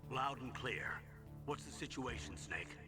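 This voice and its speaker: Casting: authoritative colonel type performed by a man, mid-pitched, measured and instructional, video game briefing with loud music underneath. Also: lively intonation, lightly acted. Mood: calm, authoritative. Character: composed authoritative male military commander briefing an agent.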